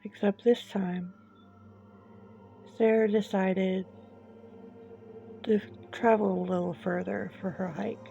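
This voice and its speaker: A woman narrating a scripted horror story in a slow, hushed, suspenseful manner with long deliberate pauses. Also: medium pitch, steady tone, gravelly. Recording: dull lo-fi, music bed behind. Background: background music.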